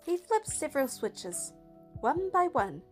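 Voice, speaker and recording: A woman narrating a game playthrough, reading the story text aloud in a steady, even way. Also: sits high, unhurried, soft background music.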